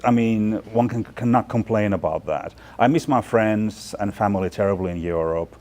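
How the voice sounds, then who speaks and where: A man's voice: on the low side, moderate pace, dark tone. A man in his forties from Finland, talking through thoughtful, chatty interview answers with plenty of fillers and restarts. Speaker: guest, fashion department chair. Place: large bright studio loft indoors.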